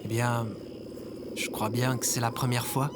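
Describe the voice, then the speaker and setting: Man acting a regular sheepish guy, mid pitch, casual talk, audio drama, noisy background. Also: level tone, unhurried, close to an everyday voice. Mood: relieved. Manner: casual, colloquial. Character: impulsive, remorseful ordinary guy, last human survivor. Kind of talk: scripted audio fiction acting.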